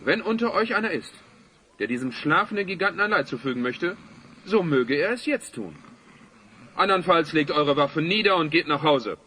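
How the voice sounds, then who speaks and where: A man's voice: fairly high pitch, big pitch swings, normal speed, sharp tone, slight background noise. A man playing a self-important man of authority in a radio play, formal, stately and declamatory. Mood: commanding. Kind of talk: German radio play acting.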